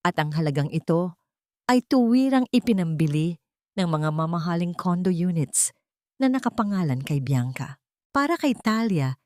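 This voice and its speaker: Woman, Filipino accent, dramatic scripted storytelling, lively swooping intonation, lowish pitch, dry sound. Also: dry recording. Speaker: audiobook narrator.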